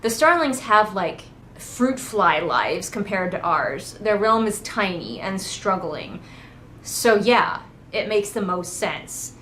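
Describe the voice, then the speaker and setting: A woman's voice: medium pitch, animated, slow pace, a bit bright, reverberant, a little hiss or hum. A woman giving casual, comedic book commentary as a host, in a sarcastic, sweary, conversational manner. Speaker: book critic and host of a readalong.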